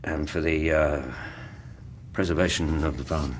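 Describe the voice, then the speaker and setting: An actor playing an analytical British gentleman detective, speaking in a precise, deliberate manner in a radio drama. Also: very deep voice, little pitch movement, a little hiss or hum. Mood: deliberate, absorbed.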